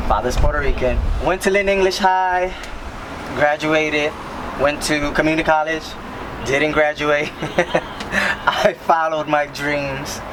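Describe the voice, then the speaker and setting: A young man from New York City talking casually outdoors in an interview, upbeat and emotional. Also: fairly high pitch. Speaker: aspiring performer. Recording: loud street noise behind.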